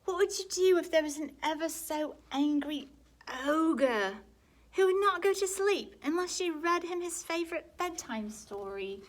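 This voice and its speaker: A woman reading aloud and speaking the lines of a patient, coaxing big brother in a storybook, in an upbeat and gently persuasive way. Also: very high voice, expressive, measured pace, voice pushed up.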